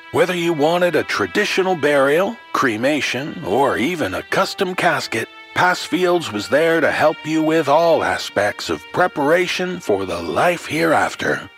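A man tells a scripted horror tale with theatrical flair over a soft musical score. His mid-pitched voice swoops expressively as he addresses the listener in a wry, folksy manner. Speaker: horror anthology storyteller.

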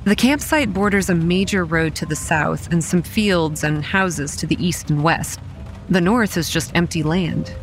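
A woman's voice: on the low side, a little slow, faint music behind. A young woman acting a wry, weary campground manager narrating a horror audio drama in a dry, exasperated way. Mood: grim, uneasy. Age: young adult. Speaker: narrator and lead character.

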